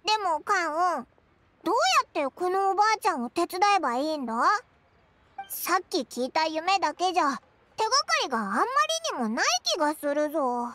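A woman voicing a tiny childlike fairy companion in a Japanese fantasy game, squeaky, excitable and cheeky, with boyish phrasing. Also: fairly quick, very noisy. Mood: cheerful, curious. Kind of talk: Japanese anime game voice acting.

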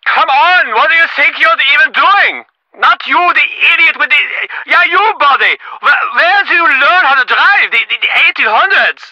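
A man's voice: very high-pitched, animated, moderate pace, pushed and bright, no room sound, dull audio, heavily acted. A man voicing a smug, impatient rich businessman in a video game, glib and self-important, with stammering, sarcastic delivery. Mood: angry yelling. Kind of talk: acted game dialogue.

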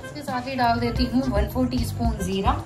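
A woman's voice: on the high side, a little fast, music in the background. A woman narrating a home recipe step by step in a conversational, explanatory way. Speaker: home cooking vlogger and host.

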